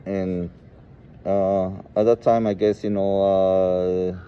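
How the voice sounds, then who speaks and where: A man in his forties from El Salvador with a Salvadoran Spanish accent, telling his personal story informally and haltingly, often saying "you know". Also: low-pitched, flat delivery. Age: 40s. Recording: muffled, with clear background noise.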